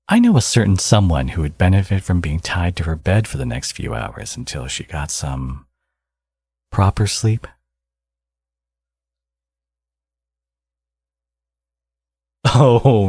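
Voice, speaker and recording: Man acting as smug villain dad, low-pitched, swooping intonation, sardonic, teasing, secretly caring, ASMR roleplay. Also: darkish tone, breathy edge, no echo, heavily acted. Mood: teasing, flirty.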